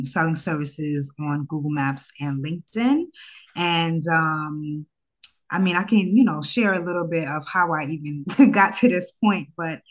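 A woman from Ghana who was raised in Harlem, telling her own story as an interview guest in a casual, halting way. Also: sits low, fairly bright tone. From born in Ghana, raised in Harlem, New York. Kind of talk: casual conversational interview answers, personal storytelling.

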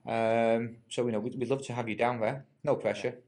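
A man's voice: medium pitch, steady tone, fairly quick, a little mellow. A man hosting a sports show, joking and teasing his guests in a casual chat. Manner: jovial, teasing. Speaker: radio show host.

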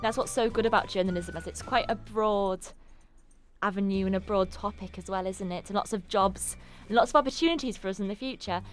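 A woman's voice: mid-range voice, brisk, soft background music. A young woman from northeast England, a student co-hosting a podcast, chatting enthusiastically with a nasal, cold-stuffed voice. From Darlington, northeast England. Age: young adult. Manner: enthusiastic, giggly. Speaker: podcast co-host. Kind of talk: casual chat.

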